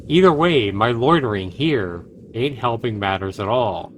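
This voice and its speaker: A man's voice: mid-range voice, lively intonation, unhurried. A man streaming a visual novel, reading it aloud theatrically and emphatically, with his own commentary. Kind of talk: dramatic game read-aloud with commentary. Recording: noisy home livestream.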